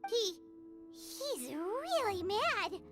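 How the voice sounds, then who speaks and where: A woman's voice: very high voice, very animated, drawn-out words, music in the background, exaggerated voice. A woman voicing a tiny, childlike fairy companion in a video game, innocent, cheeky and wide-eyed. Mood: awed. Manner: speaks of herself in third person, wide-eyed. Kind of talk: cartoonish video game voice acting.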